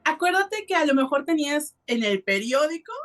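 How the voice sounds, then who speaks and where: A woman's voice: high voice, very animated, normal speed. A woman with a Mexican accent hosting a podcast, telling a story in a casual, colloquial and explanatory way. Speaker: podcast host.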